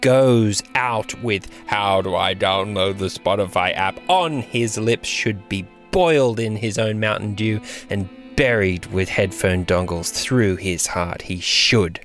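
A man's voice: on the low side, slow, hardly any pauses, a bit bright, faint music behind, pitched below the performer's own voice. A man doing a grumbling miserly grouch. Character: grumpy middle-aged male tech-podcaster miser.

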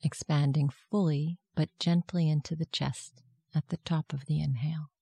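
A meditation guide giving gentle, soft-spoken, measured instructions in a scripted guided meditation. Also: mid-range voice, fairly even intonation, creaky, breathy edge, no room sound.

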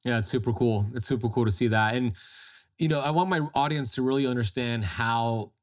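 A man's voice: mid-range voice, fairly quick. A man hosting a podcast interview, introducing and questioning his guest in a friendly, enthusiastic way. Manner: enthusiastic, friendly, some fillers. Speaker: podcast host.

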